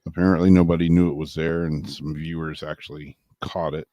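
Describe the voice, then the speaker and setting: A man's voice: a bass voice, level tone, a little fast, dark tone, no room sound, muffled recording. A man co-hosting a casual vlog, reading odd news stories aloud with wry asides and chuckles. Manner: chatty, wry, laughs between stories. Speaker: co-host.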